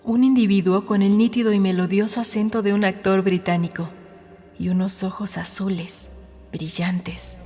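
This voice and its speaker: A woman reading a novel as an audiobook narrator, in a measured, suspenseful storytelling manner. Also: mid-range voice, steady tone, mellow. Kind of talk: scripted dramatic narration of a novel. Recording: studio narration over a music bed. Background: background music.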